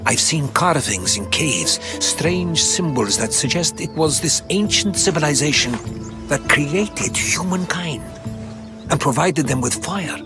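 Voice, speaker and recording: An actor playing a learned, secretive older Greek historian in a video game, speaking in a measured storytelling way. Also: male voice, on the high side, expressive. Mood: earnest, intrigued. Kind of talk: dramatic video game dialogue. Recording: game music under the voice.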